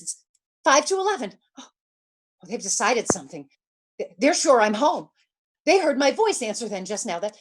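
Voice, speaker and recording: A woman playing a nervous, demanding bedridden lady in an old-time radio suspense play, stammering, fretful and indignant. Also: a little high. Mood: frightened, frantic.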